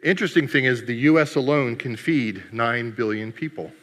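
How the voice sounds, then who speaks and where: A man with a bright, forceful voice gives a playful keynote at a conference and teases the audience as he goes. His first language is Greek. The sound carries a long hall reverb. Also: medium pitch, moderate pace. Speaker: conference speaker. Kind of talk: humorous keynote talk.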